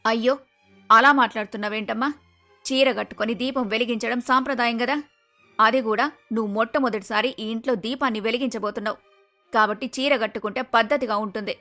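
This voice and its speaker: A woman playing a middle-aged, traditional mother-in-law, anxious and chiding, in an animated moral story. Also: a little high, quick pace, faint music behind. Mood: gently chiding. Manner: chiding, pleading.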